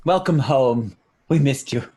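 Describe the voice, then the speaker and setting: A man's voice: mid-range voice, lively intonation, measured pace, mellow, slightly rough. A man co-hosting a podcast in casual chat, jokey and gushing as he reacts. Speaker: podcast co-host.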